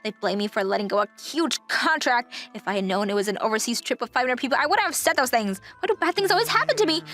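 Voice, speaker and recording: A woman performs a haughty young travel agent in a manga-style drama, high-voiced. She is petulant and defensive, turning whiny when cornered. Faint music runs behind her. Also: expressive, fast talker, fairly bright tone. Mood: whiny, blaming. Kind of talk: anime-style manga dub acting.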